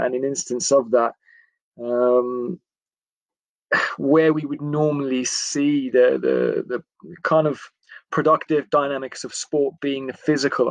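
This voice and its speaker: A man in his forties with an English accent, talking informally on a livestream as a coach in a friendly, chatty, halting way. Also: medium pitch, dry recording, rough recording. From England. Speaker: football coach giving a livestream talk. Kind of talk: informal live talk to camera. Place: indoors, small attic room.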